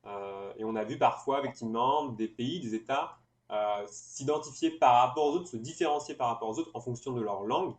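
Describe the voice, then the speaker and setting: A man hosting an interview, putting long, reflective questions in a measured, wordy way. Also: mid-range voice. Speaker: interviewer, host. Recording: echoey room.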